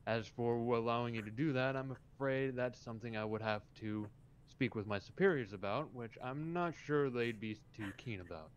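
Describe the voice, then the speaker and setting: A man playing a polite, measured police officer in a game roleplay, speaking formally and hesitantly over voice chat. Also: mid-range voice, lo-fi sound, a little hiss or hum, lightly acted. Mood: hesitant, diplomatic.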